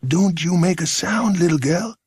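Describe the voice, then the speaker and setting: A man voicing a frightened American in hiding, with a hoarse, whispery voice and urgent, folksy warnings, in an audiobook story. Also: sits high, moderate pace, darkish tone, no room sound, voice pushed up, full character voice. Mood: hushed, pleading.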